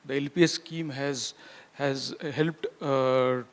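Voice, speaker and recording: A man moderating a conference panel, polite and halting with many repeats. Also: mid-range voice, steady tone. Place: conference hall.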